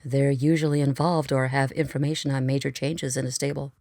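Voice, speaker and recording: A weary, businesslike female analyst played by a woman in an audio drama. Her voice is very low and gravelly with a dark softness. She keeps it clipped and professional. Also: level tone, fairly quick, no room sound, clean studio sound. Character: female pony analyst, weary, businesslike, reviewing surveillance logs.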